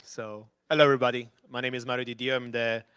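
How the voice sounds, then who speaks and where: A man's voice: mid-range voice, level tone, quick pace, fairly bright tone, clear, resonant tone. A man from Italy with an Italian accent, giving a loose, conversational conference talk at an event venue on a handheld mic, with frequent restarts and fillers. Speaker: company executive presenting.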